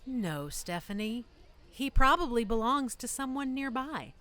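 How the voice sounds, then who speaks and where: Woman playing a loving mother, lively and high-ish. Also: unhurried. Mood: gentle. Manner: gentle, coaxing. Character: loving, protective mother of a young child. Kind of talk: audio drama acting.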